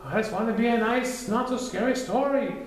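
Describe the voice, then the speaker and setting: A man voicing a very small, terrified scientist, squeaky and pleading, in a storybook reading. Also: brisk, heavy reverb, rough recording.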